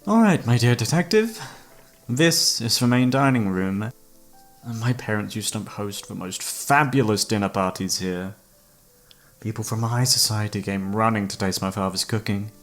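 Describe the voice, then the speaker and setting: A man's voice: on the low side, moderate pace, lightly acted. A man acting an obsessive, flirtatious serial killer who holds a detective captive, speaking with teasing mock courtesy in an ASMR roleplay. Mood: genial host. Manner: teasing, mock-polite, theatrical, savouring words. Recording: background music under the voice.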